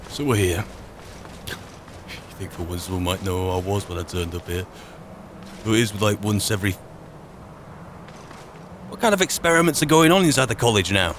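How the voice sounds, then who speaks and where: A man's voice: medium pitch, noisy background, close to an everyday voice. A man roleplaying a wry, boastful archmage adventurer, muttering sardonic asides in colloquial speech as he plays. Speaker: roleplaying gamer narrating in character as an adventurer mage. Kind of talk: in-character game roleplay commentary.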